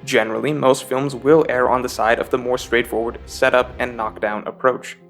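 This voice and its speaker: Male video essay narrator, mid-pitched, measured and emphatic, scripted analysis with faint guitar music behind. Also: fairly even intonation, creaky.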